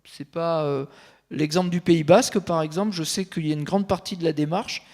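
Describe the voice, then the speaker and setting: A man speaking as a consultant on a conference panel, measured and thinking aloud, with frequent fillers and restarts. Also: fairly high pitch, steady tone, darkish tone.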